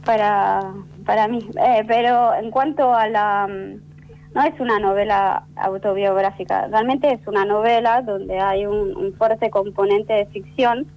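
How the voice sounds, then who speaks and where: A woman guest, French by first language, speaking thoughtfully with many pauses and restarts in an interview. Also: medium pitch, fairly even intonation, a little mellow, muffled recording. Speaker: interview guest, actress and writer. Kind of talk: conversational interview.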